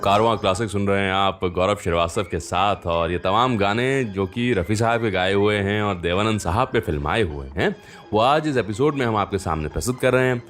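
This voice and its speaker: A man's voice: fairly low pitch, a little fast, hardly any pauses, a bit bright. A man presents a music radio show, telling anecdotes in a chatty, conversational way that speaks straight to the listener. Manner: chatty, addresses listeners directly with frequent rhetorical fillers.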